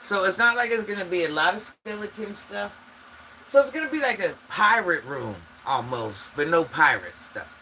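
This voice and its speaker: An older woman with a deep voice, chatting casually on a livestream and talking straight to her viewers. She sounds stuffy from allergies. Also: very animated, moderate pace, pushed and bright, slight background noise, poor audio. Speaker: livestream host.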